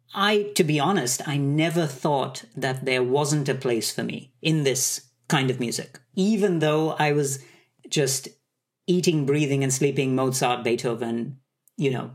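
A man from Sri Lanka, a conductor, answering interview questions in a thoughtful, measured way. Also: mid-range voice. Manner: thoughtful, measured, some hesitations. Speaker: conductor, guest.

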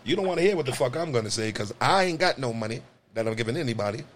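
A man hosting a podcast, joking crudely and loudly, swinging his voice high when he riffs. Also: lively intonation, rapid-fire, slight background noise. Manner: loud, profane, joking, swings pitch high when riffing. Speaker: podcast host. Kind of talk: crude comedic banter.

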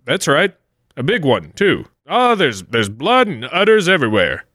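A man plays a wily adventurer in a comedic 1940s-style radio play. He delivers sly, folksy patter full of old-time slang, with a lively pitch that rises and falls freely. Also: normal speed, no room sound, studio quality, exaggerated voice. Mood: bluffing. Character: folksy, wily adventurer narrating his own scheme.

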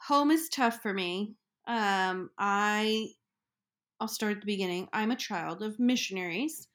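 A woman's voice: mid-range voice, measured pace, a bit bright. A young woman from Ecuador, a podcast guest, telling her life story in a reflective, chatty way. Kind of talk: casual chat.

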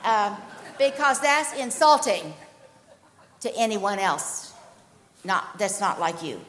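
A woman's voice: mid-range voice, lively intonation, takes it slowly, fairly bright tone. An older woman leading a church discussion in an earnest, emphatic sermon style, with light joking asides. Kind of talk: sermon-style panel hosting. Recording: long hall reverb.

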